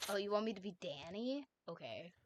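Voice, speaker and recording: A woman's voice: medium pitch, animated, fairly quick, a little hiss or hum. A woman reading a fanfic aloud on a stream and chatting easily with her co-reader.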